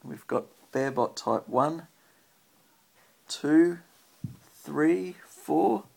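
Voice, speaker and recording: An Australian man with a husky, creaky voice, reading a picture book aloud and chatting simply with a child as a parent would. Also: on the high side, lively intonation, drawn-out words, a little mellow.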